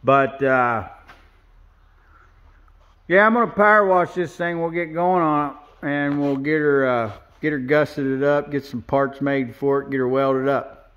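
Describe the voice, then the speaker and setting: Guy in a big metal shop talking thru a frame job, unhurried, kinda muffled camera audio. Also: a little high, smooth. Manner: plain-spoken, explains as he points things out. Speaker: welder and fabricator. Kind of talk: casual shop walkthrough. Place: large indoor metal fabrication shop.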